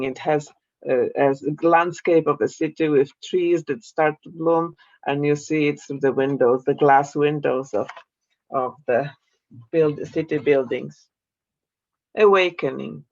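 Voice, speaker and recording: A woman from Poland with a Polish accent, reading her poems slowly and introducing them in a measured way on a video call from her home. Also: deep voice, a little mellow, highs cut off. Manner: slow, measured reading of verse. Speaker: poet. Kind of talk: poetry reading with spoken introductions. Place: room at home.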